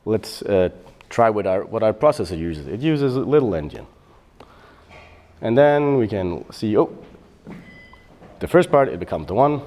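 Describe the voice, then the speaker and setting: A middle-aged man from Bosnia giving a technical conference talk on developer tooling, relaxed and explanatory, with frequent fillers and self-corrections. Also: lowish voice, unhurried, long pauses. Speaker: software developer presenting.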